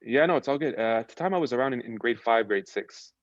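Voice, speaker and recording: A young man from Lebanon, a podcast guest, chatting casually and relaxed about his background, often restarting his sentences. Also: mid-range voice, fast talker, no room sound, highs cut off. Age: young adult. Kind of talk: casual chat.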